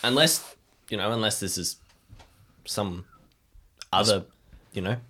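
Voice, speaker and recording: A man's voice: on the low side, unhurried, pushed and bright. A man playing in an improvised audio drama, chatting casually in a joking, conversational way as he role-plays.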